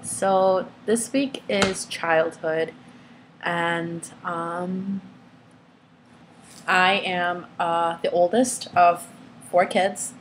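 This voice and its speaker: A young woman whose first language is Vietnamese, talking casually to the camera with lots of pauses and fillers. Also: on the low side, fairly even intonation, a little hiss or hum, low-quality recording. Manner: hesitant, many fillers and restarts. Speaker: vlogger.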